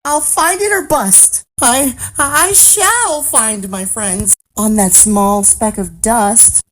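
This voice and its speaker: A woman's voice: fairly high pitch, slow, a bit bright, pitched above the performer's own voice, low-quality recording. A woman voicing a big, kindhearted male elephant in a storybook, earnest and pleading, anxious and loyal, often repeating himself. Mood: determined.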